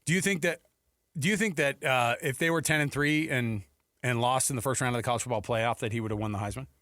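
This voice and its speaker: A man's voice: mid-range voice, fast talker, a bit bright, no room sound. An American man hosting sports talk radio in a casual, conversational style on a studio microphone. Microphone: close studio radio microphone.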